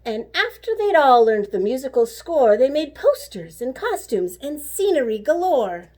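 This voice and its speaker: A woman reading a children's story aloud like a teacher, animated and rhythmic with the rhymes. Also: high voice, moderate pace. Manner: animated, rhythmic rhyming delivery.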